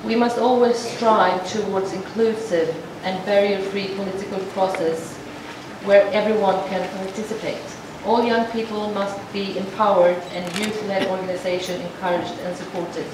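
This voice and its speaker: Woman, sign language interpreter, formal speech, halting restarts, distant mic, big reverberant hall, steady background noise. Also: mid-range voice. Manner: measured, with frequent halting restarts. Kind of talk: formal address to an assembly, interpreted aloud. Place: large assembly hall. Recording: echoey hall from far off, noisy.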